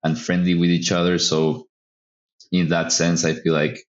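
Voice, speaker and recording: Very deep-voiced Salvadoran man, relaxed. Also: moderate pace, dull audio. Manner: relaxed, hesitant, many fillers. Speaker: guest. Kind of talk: casual interview answers.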